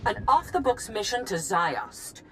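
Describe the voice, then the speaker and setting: A woman's voice: on the high side, sing-song, unhurried, fairly bright tone, a little hiss or hum, rough recording. An actress playing a stern female head of state in a game, commanding and condescending in clipped phrases. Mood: cold reproach. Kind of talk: video game cutscene acting.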